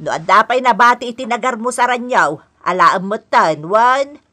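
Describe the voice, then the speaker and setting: A demanding grandmother who nags and orders her family about in a radio comedy drama. Her voice is sharp and forceful, swinging widely as she drags out her scolding words. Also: a little high, expressive. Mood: bossy. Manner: nagging, bossy, drawn-out delivery. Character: demanding, scolding older mother-in-law and grandmother.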